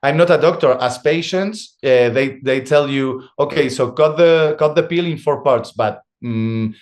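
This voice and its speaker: A young man with a Spanish accent, speaking English that is not his first language in a mid-pitched voice. He talks haltingly, restarting phrases and pausing to search for words as he tells his story in an interview. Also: a little mellow. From Spain. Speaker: guest. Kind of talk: conversational interview.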